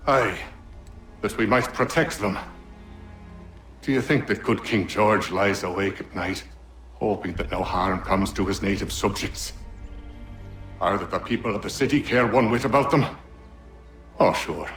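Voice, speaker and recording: A scheming middle-aged Templar official, silken and cold, voiced in a game cutscene. His low voice carries smooth menace, set against a soft music bed. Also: measured pace, long pauses. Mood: bitter. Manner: silken, then cold and threatening. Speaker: Templar villain.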